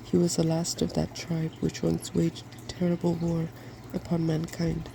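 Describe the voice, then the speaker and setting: A softspoken ASMR host reading a fairy tale from a storybook in a gentle, quiet way. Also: medium pitch, monotone, unhurried. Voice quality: soft-spoken. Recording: clearly noisy background.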